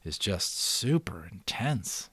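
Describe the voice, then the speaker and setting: Expressive, lively male storyteller, close and dry. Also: lowish voice, takes it slowly, slightly rough, dry recording. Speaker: amateur audiobook reader. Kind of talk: dramatic storybook narration with casual asides.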